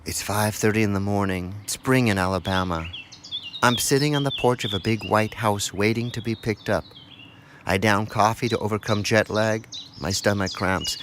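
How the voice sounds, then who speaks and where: A man's voice: fairly low pitch, fairly even intonation, moderate pace. An American man reading a first-person scripted documentary narration. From United States. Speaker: narrator, radio documentary author. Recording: clear outdoor and car background noise.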